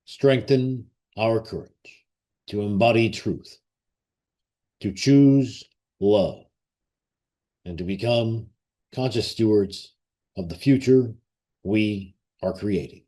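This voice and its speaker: A man with a fairly low voice guides a ceremonial meditation. He speaks very slowly, leaving long pauses between short phrases. His delivery is solemn and reverent, like a formal invocation. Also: mellow.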